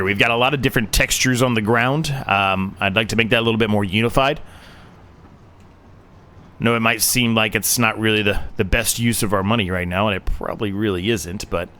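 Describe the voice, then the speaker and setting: A man's voice: fairly low pitch, steady tone, fairly quick, sharp tone. A man losing his voice, giving casual, rambling commentary on a farming game with lots of fillers and restarts. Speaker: game streamer.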